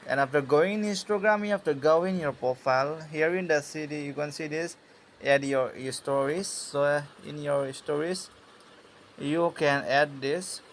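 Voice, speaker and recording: A man giving a casual tutorial voice-over in a halting, hesitant and repetitive manner. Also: a little high, a little hiss or hum. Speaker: tutorial host.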